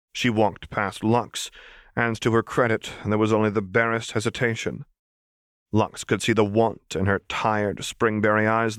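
A man's voice: lowish voice, fairly bright tone, no room sound, studio quality. A man reading dramatic fiction aloud as an audiobook narrator.

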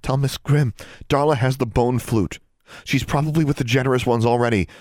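A man's voice: mid-range voice, fairly even intonation, fairly quick, darkish tone, no room sound, studio quality, pitched above the performer's own voice, natural voice. A man voicing a determined, urgent young girl heroine in an audiobook, earnest and insistent. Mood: urgent, agitated. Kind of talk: audiobook character voice.

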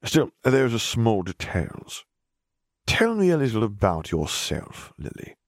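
A man voicing a haughty, menacing male stranger with a formal, measured manner, in an audiobook. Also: on the low side, huge pitch swings, no room sound, clean studio sound, voice pushed up. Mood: composed, probing. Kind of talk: audiobook character voice.

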